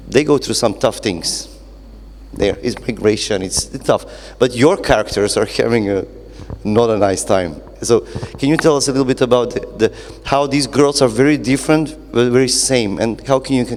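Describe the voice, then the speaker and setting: A man moderates a literary conversation in English. His voice sits at an ordinary male pitch and rings with long hall reverb. He feels his way through questions, restarting and rephrasing as he goes. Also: a little mellow. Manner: halting, rephrasing as he builds questions. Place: indoor event space with reverberant acoustics.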